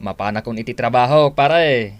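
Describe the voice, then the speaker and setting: A man's voice: medium pitch, normal speed, soft background music, close to an everyday voice. A man playing a helpful, easygoing friend in a radio comedy drama, with an Ilocano accent. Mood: friendly. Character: helpful, easygoing buddy of the father.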